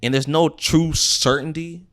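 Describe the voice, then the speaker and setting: A young American man co-hosting a podcast, talking in a casual, animated way, swearing and using lots of filler words. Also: on the high side, measured pace. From United States. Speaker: podcast co-host. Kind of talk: casual chat.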